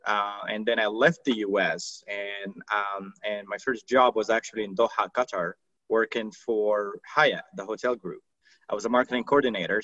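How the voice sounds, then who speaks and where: A man from Morocco whose first languages are Arabic and French speaks as a podcast guest. He walks through his career in a relaxed, steady way. The recording is dry and a bit dull and lo-fi. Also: fairly low pitch, no room sound. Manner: relaxed storytelling, steady recounting. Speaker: podcast guest, marketing executive. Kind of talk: casual interview chat.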